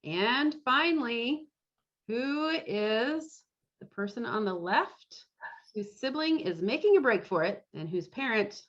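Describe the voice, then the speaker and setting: A woman's voice: a little high, expressive, takes it slowly, a bit bright. Woman hosting, dull lo-fi call audio. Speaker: quiz host at an online fan club meeting. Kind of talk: casual game hosting. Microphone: video call.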